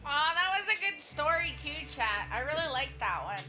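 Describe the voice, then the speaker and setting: A woman's voice: sits high, sing-song, moderate pace. A woman streamer chatting casually to her live audience while she plays a video game. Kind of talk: casual livestream chat over gameplay. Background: game music.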